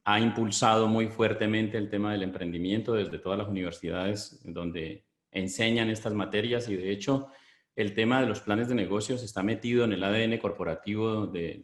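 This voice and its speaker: A middle-aged Colombian man with a Colombian Spanish accent, giving a business webinar in a measured, explanatory way, often pausing on fillers. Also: fairly low pitch, echoey room. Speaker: business consultant presenting a webinar. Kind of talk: webinar presentation.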